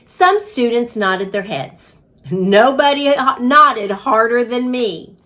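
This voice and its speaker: Woman, children's read-aloud, expressive storytelling, higher-pitched voice, lively intonation, slow pace. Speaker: reading coach, storybook reader.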